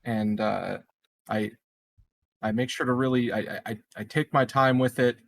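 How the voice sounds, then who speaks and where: A man's voice: medium pitch, fairly even intonation, normal speed, vocal fry, dry recording. A man playing a tabletop game, improvising casually with joking, mock-boastful, stammering talk.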